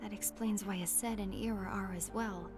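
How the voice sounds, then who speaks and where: A woman's voice: medium pitch, steady tone, moderate pace, over music, close to an everyday voice. A woman playing a stern, composed leader in a fantasy video game cutscene, speaking seriously and resolutely. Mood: grave, foreboding. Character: stern, composed female leader of keyblade wielders.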